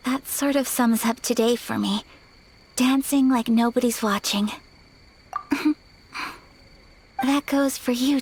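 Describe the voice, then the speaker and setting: A woman voicing a graceful young noblewoman, soft-spoken, polite and earnest. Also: high-pitched, slight background noise. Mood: shy.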